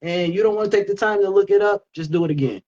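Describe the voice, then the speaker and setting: A man's voice: sits high, fast talker, a little mellow. A man speaks as a talk show co-host. His delivery is informal and conversational, like someone sharing anecdotes with a friend. The recording is a touch dull and lo-fi. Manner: informal, conversational, quotes himself. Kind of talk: casual anecdotal chat.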